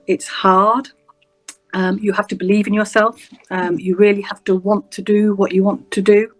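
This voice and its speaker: A woman's voice: mid-range voice, rough recording. Reflective Yorkshire woman in her fifties. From England. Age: 50s. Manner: measured, reflective, many "um" pauses. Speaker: guest, senior prosecutor. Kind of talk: interview storytelling.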